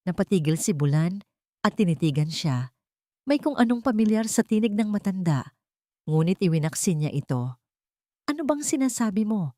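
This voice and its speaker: A woman's voice: lowish voice, animated, moderate pace, dark tone, dry recording, studio quality. A woman reading scripted dramatic fiction as a storyteller.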